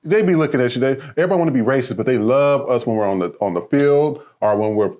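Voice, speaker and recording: A man on a casual podcast, telling his stories with an animated, emphatic delivery. His voice has a middling pitch and a relaxed, conversational feel. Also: quick pace, a little hiss or hum. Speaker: podcast co-host. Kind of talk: casual chat.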